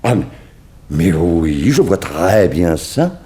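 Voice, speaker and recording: An actor in a 1960s radio serial playing a flustered, effusive, exacting fashion designer, with theatrical stammered exclamations. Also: male voice, sits low, sing-song, takes it slowly, warm tone, heavily acted. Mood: musing, delighted.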